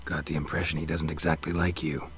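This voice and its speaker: An actor playing a gruff, stoic monster hunter in a video game, terse and deadpan. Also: male voice, extremely low pitch, fast. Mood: curious, dry. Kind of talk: video game dialogue acting.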